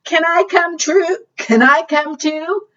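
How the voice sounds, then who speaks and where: Eager storybook critter, played by a woman: high, pleading, sing-song, heard at a distance through dull audio. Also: takes it slowly, a bit bright, heavily acted. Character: eager, pleading storybook animals wanting a ride. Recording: distant, dull lo-fi microphone sound.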